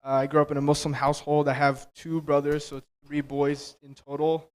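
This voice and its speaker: Smooth-voiced eighteen-year-old man. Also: a little high, completely flat delivery, a little fast. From Albanian household. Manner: casual, uses fillers like "you know what I mean". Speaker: panelist. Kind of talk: casual panel answers.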